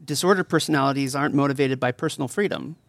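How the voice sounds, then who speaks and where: A man's voice: medium pitch, very fast, no echo, natural voice. A man acting as a thoughtful advocate interviewed in an audio drama, explaining earnestly and didactically. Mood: earnest, explanatory. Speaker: interview guest.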